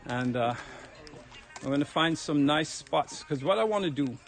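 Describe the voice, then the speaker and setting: Brief: Jamaican man, slightly high voice, relaxed chatty fitness livestreamer, outdoors on a phone, a little winded. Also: normal speed, soft background music. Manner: chatty, relaxed, talks to viewers while walking uphill. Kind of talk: casual livestream chat while walking. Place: outdoors on a hill road. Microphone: phone held while walking.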